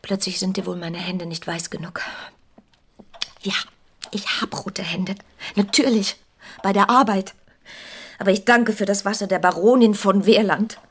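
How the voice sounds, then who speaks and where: A woman playing a jealous, wounded pastor's wife with a husky voice in a radio drama. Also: medium pitch, steady tone, measured pace, pauses often. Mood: bitter, hurt. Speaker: actor in a radio play. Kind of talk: German radio drama acting.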